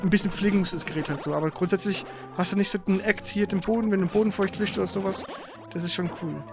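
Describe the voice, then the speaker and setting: A man's voice: high voice, steady tone, fast talker, vocal fry. A man chatting casually and ramblingly as he co-hosts a live game stream. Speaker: streamer and co-host. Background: game music.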